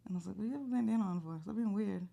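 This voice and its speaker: A woman's voice: medium pitch, rapid-fire, gravelly, warm tone. A woman in her thirties co-hosting a podcast, teasing her husband with dry comebacks. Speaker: podcast co-host. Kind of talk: casual chat.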